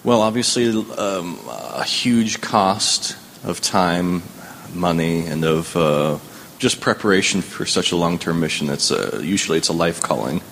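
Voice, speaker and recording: A man in a group discussion, haltingly listing points. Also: low voice, vocal fry, a bit bright, slight background noise. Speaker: discussion participant.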